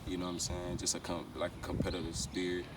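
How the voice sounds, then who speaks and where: A very deep-voiced American man talks casually as a rapper being interviewed. His speech is slangy and peppered with repeated verbal tags. He sounds set back from the microphone, with clear outdoor city noise behind him. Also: completely flat delivery, fast. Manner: slangy, repeats verbal tags like "you know what I'm saying". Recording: noisy, distant mic, outdoor street ambience.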